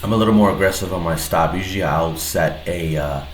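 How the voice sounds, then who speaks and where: A man with a head cold and a slightly nasal voice talks through his own trade in a casual, hesitant way, with many pauses and restarts. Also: low voice. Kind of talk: casual screen-recorded trade breakdown. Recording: roomy echo with faint background noise.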